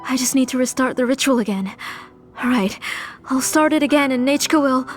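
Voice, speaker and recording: A woman playing a grief-stricken, obsessive mother in denial, halting and pleading, in a video game drama. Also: sits high, faint music behind, close to an everyday voice. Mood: insistent denial.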